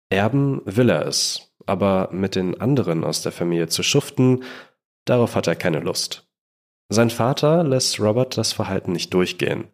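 A man co-hosts a true-crime podcast, narrating from a script in a conversational storytelling manner. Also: sits low. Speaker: podcast co-host.

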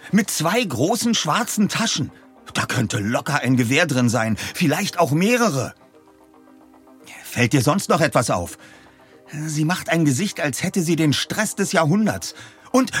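An actor playing an earnest, eager young detective in radio play dialogue. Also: male voice, fairly high pitch, big pitch swings, measured pace, a bit bright, husky, faint music behind, full character voice. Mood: urgent, tense.

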